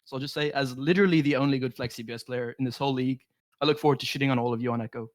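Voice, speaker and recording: Fast, cocky young man trash-talking. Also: mid-range voice, fairly even intonation, dry recording, clean studio sound. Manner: cocky, rattling off insults. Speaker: team captain. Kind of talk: trash talk.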